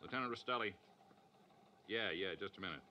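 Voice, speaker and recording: An American man playing a gruff, impatient police lieutenant in a 1950s radio drama. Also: fairly low pitch, brisk, dull audio, lightly acted. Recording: noisy old radio broadcast.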